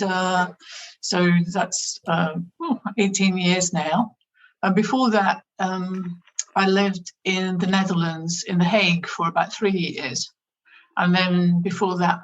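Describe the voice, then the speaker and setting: A woman with a Northern English accent and a fairly low voice answers interview questions. She speaks thoughtfully, pausing on fillers and restarting her sentences. The sound is dull and lo-fi, like a remote call. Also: slight background noise. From England, near Manchester. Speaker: guest. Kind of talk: conversational interview.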